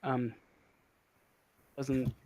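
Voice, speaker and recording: A man narrating an improvised tabletop game as game master, halting and searching for words. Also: medium pitch, little pitch movement, mellow.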